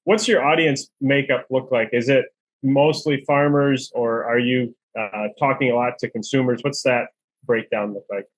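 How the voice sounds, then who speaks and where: A man's voice: medium pitch, steady tone, moderate pace, no room sound, poor audio. A man hosting a podcast, asking questions in a casual conversational way. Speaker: podcast host, interviewer.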